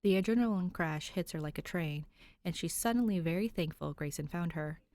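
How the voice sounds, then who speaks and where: A woman's voice: lowish voice, a little fast, darkish tone. A woman narrating fan fiction expressively from a script. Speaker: podfic narrator.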